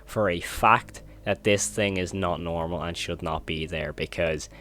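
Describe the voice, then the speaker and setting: A man's voice: deep voice, a little slow, fairly bright tone, faint music behind. A man hosting a horror video commentary, retelling and reacting in an informal, emphatic way.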